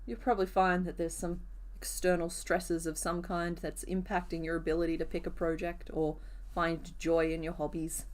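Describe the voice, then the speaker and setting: Woman host, crafting live stream, voice a bit hoarse today, chatty and easygoing with her chat. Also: fairly low pitch, little pitch movement, moderate pace. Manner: chatty, answers viewers' comments as she works. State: rough, hoarse voice. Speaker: crochet streamer. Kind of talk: casual live-stream chat while crocheting.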